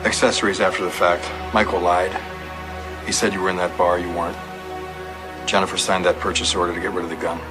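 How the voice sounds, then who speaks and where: An actor playing a calm, relentless police detective in an audio drama, questioning in a terse, methodical way. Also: male voice, low voice, brisk, music in the background, lightly acted. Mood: cold, accusing. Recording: clear background noise and ambience.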